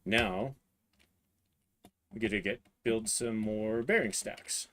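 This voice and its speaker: A hoarse man in his thirties livestreaming a printer build, talking haltingly as he searches for words and clears his throat. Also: lowish voice, steady tone, fairly bright tone, slight background noise. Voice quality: voice cutting out, clears throat often. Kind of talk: live build stream, casual talk while working.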